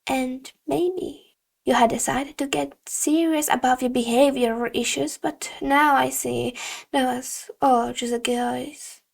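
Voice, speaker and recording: A woman voicing a stern, condescending female middle school teacher in audiobook dialogue, speaking in a deliberate, emphatic, lecturing way. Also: sits high, fairly even intonation, creaky, full character voice. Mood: disappointed, condescending.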